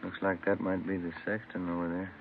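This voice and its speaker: An actor playing an easygoing police detective partner in a 1950s radio drama, talking in a casual, plain-spoken way. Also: male voice, deep voice, completely flat delivery, brisk, gravelly, a little hiss or hum. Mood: quiet, casual. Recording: old narrow radio recording, music behind.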